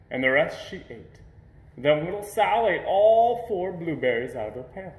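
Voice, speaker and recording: A man reads a picture book aloud to children in a roomy, echoing space. His voice sits a little high and moves up and down in lively swings, with an expressive storyteller's delivery. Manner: expressive storytelling with playful sound words. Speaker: storybook reader.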